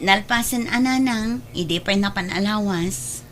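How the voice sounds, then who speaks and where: A woman's voice: medium pitch, measured pace, a bit bright, close to an everyday voice. A woman playing a resentful, meddling elder sister in an Ilocano family drama. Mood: insistent, nagging. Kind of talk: Ilocano radio-style family drama acting.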